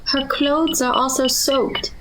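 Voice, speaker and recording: A woman's voice: high-pitched, steady tone, takes it slowly, background noise. A woman playing a level-headed, supportive teenage girl in an audio drama, reasonable and reassuring.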